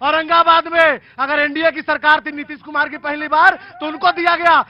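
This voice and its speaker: Very high-pitched teenage student leader. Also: male voice, little pitch movement, moderate pace, no room sound. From Bihar, India. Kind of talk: impassioned political argument. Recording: muffled outdoor sound among a crowd.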